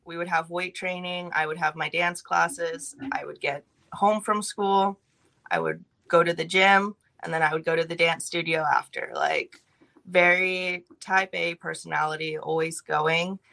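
Young california woman telling her story, lowish but bright voice, brisk and matter of fact. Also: gravelly. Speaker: podcast guest.

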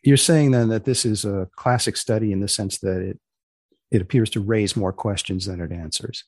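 A man hosting a comic science podcast in a dry, deliberate, deadpan way with mock-formal phrasing. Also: on the low side, no echo. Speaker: podcast host.

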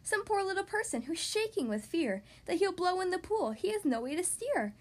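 A big-hearted storybook elephant, voiced by a woman, earnest and kindly. The voice is high and very animated, with a protective, pleading manner. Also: moderate pace. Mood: curious, concerned.

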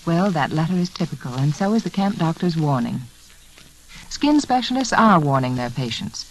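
A woman's voice: deep voice, animated, moderate pace. A woman hostess delivering a scripted 1940s radio advertisement. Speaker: sponsor's hostess. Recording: faintly noisy vintage radio recording.

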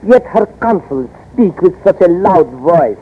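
Haughty minister, high husky voice. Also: male voice, lively intonation, measured pace, full character voice. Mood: veiled menace. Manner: formal, smooth-worded, threatening. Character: haughty, menacing North African minister of finance. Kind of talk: old-time radio drama acting. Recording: dull vintage radio recording.

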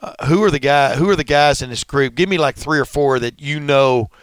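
Texan guy in his 60s, laid back and folksy, smooth clear voice, dry close sound. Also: a little high, fairly quick, no room sound. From Texas. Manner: folksy, easygoing, self-mocking. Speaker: sports talk show host. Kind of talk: casual sports talk.

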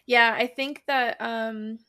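A woman co-hosting a podcast in casual, unscripted chat, telling stories in a giggly, animated way. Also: fairly high pitch, slow pace, clean tone. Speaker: book podcast co-host.

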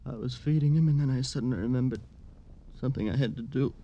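A male actor portrays a tormented man weighed down by guilt, in vintage radio drama style. His mid-pitched voice is fragile and anguished. Words come haltingly. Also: mellow, dull audio, lightly acted. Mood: dazed, halting. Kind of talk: 1950s radio drama acting.

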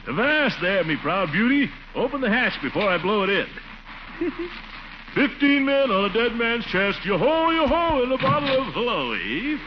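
A blustering, roly-poly middle-aged bachelor in an old radio comedy. His pitch swings wildly as he hems and frets, easily wounded. The recording is muffled and clearly noisy. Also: very animated, moderate pace. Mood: playful swagger. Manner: blustery, chuckling, hemming. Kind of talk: 1940s radio comedy acting.

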